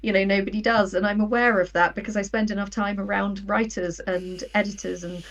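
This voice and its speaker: A woman sits a little distant from the microphone, talking in a medium pitch. She is in her thirties and speaks as a guest in an informal interview. She tells things in an animated way, voicing imagined quotes as she goes. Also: a little fast. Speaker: guest, writer. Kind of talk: casual interview chat.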